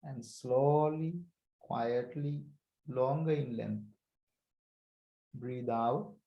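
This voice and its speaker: Man, meditation teacher, very slow pace, calm short phrases, long pauses, roomy echo. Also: mid-range voice, muffled recording. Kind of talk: guided breathing meditation instruction.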